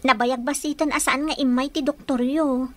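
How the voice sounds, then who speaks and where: A woman's voice: sits high, fairly even intonation, moderate pace, slight background noise, close to an everyday voice. A woman with an Ilocano Filipino accent playing a young, deferential, naive housemaid in a radio drama. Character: young housemaid daughter, deferential yet observant.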